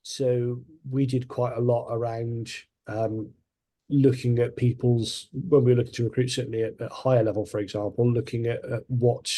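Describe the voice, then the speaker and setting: Mellow, flat-toned man. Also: medium pitch, normal speed. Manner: rambling, hesitant, many restarts. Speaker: panellist. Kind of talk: panel discussion.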